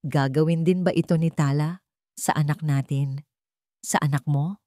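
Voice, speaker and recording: A woman's voice: sits low, fairly even intonation, normal speed, dark tone, no echo, studio quality, natural voice. A woman with a Filipino accent voicing a troubled, grieving wealthy father in a dramatic story. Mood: anguished, doubting.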